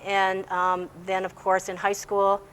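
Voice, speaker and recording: A woman's voice: mid-range voice, monotone, unhurried, a bit bright, smooth. A woman teacher giving plain-spoken, informative answers in an interview, explaining how things work. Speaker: English language teacher. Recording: faint background noise.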